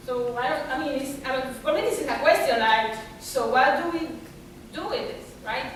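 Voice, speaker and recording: A woman from Argentina answering audience questions at a symposium, pausing often and searching for words. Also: high voice, a little hiss or hum. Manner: halting, searching for words, many fillers. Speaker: artist at a symposium. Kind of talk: reflective Q&A answer. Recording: echoey lecture room from far off.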